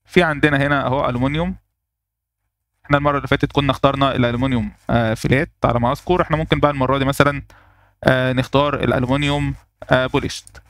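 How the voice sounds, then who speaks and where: A man with an Egyptian Arabic accent talks quickly through a screen-recorded software lesson, explaining each click as he goes. His voice sits at a middle pitch and sounds dry and close to the mic. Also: fairly even intonation, no room sound. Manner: explains step by step, thinking aloud with fillers. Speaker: software tutorial instructor.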